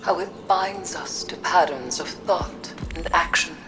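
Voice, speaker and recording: An actress playing a solemn, haunted mystic in a video game, speaking in a grave, formal and measured way. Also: female voice, lowish voice, music in the background, lightly acted. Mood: grave, reflective. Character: solemn, haunted mystic woman, weary and cryptic. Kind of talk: video game cutscene monologue. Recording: clear background ambient noise.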